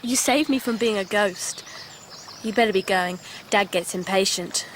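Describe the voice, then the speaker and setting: A woman's voice: mid-range voice, normal speed, a little hiss or hum, natural voice. An actress playing a plucky, cheeky teenage girl in a radio drama. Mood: cheeky, fond.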